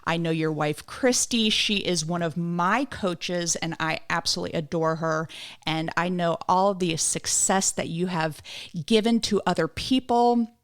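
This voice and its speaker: A woman's voice: lowish voice, unhurried, clear, resonant tone. A middle-aged woman hosting a podcast, reading a scripted intro and then chatting casually and teasingly with her guest. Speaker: podcast host.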